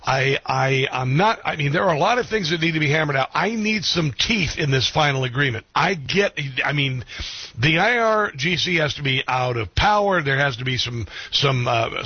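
A man hosting a radio talk show, speaking haltingly with many ums and restarts. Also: medium pitch, slightly rough, no echo, dull audio. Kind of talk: radio interview.

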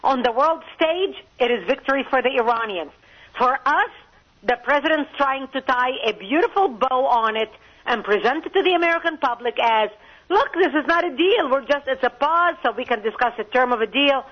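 Lebanese-born woman guest, high voice, forceful arguing, muffled phone line. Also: lively intonation, normal speed, slightly rough. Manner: emphatic, argumentative, rising to outbursts. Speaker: political commentator guest. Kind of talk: call-in radio interview.

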